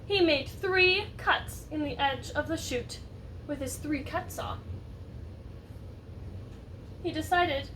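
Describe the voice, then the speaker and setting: A woman reading a picture story aloud like a teacher, animated and chatting to young listeners with questions. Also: high-pitched, takes it slowly, pauses often. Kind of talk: expressive read-aloud story narration with asides to children. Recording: distant mic, roomy background noise.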